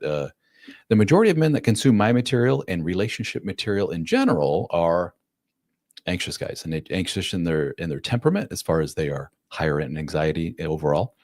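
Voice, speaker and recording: A man nearing fifty talks through viewer questions as a relationship coach, loose and conversational. His voice sits very low, and the sound is close and dry, with almost no room around it. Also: a little mellow, no room sound. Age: 40s. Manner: casual, plain-spoken, acts out quotes in a raised voice. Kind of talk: live-stream Q&A.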